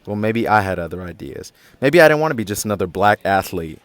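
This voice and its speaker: An actor playing a defiant eighteen-year-old activist kid brother, cocky and argumentative, in an audio drama. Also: male voice, lowish voice, animated, fast talker, fairly bright tone, no echo. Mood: sullen, cocky.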